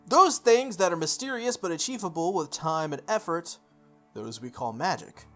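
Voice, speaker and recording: Visual novel playthrough host, medium pitch, narrating on-screen text with offhand informal commentary, music underneath. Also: fairly bright tone. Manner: informal, slips into offhand asides. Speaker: video game streamer doing a playthrough. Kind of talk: reading visual novel text aloud with casual side comments.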